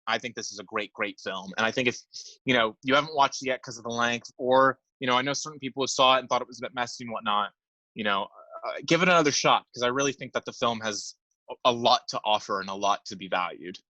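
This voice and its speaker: A man's voice: mid-range voice, quick pace, sharp tone, no echo, highs cut off. A young man co-hosting a casual film podcast, talking with enthusiasm and often repeating words as he gathers his thoughts. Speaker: podcast co-host.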